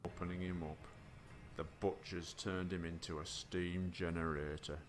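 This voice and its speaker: Deep, flat-voiced man narrating a documentary. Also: normal speed, over music. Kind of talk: scripted mock-documentary narration. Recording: clearly noisy playback.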